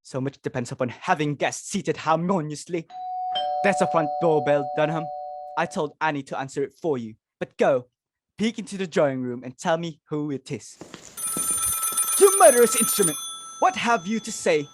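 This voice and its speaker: A woman's voice: low voice, sing-song, moderate pace, background noise. A woman playing an imperious, frantic, self-important society hostess and domineering mother in a dramatic reading of a stage comedy. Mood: fretful, bossy. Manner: theatrical, self-important, exclamatory.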